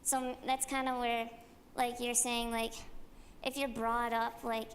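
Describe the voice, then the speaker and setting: A woman in an audience sharing an informal personal comment, halting and peppered with like. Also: on the high side, flat delivery. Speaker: audience member sharing experience. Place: large conference hall, audience floor. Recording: hall reverb, faint background noise.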